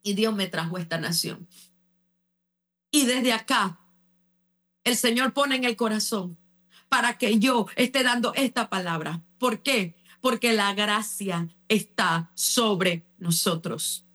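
A woman's voice: mid-range voice, slow pace. Fervent woman preacher. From Panama. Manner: fervent, exhorting, sometimes shouting. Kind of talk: broadcast sermon.

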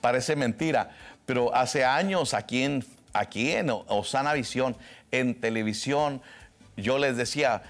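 A man, preaching a fervent televised sermon that swings from soft to loud and emphatic. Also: mid-range voice, unhurried. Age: 60s. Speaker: pastor.

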